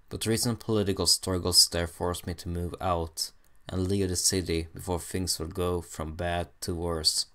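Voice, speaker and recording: A man reading a fan fiction story aloud in first person, hesitant and stumbling at times. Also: sits low. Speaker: amateur story reader.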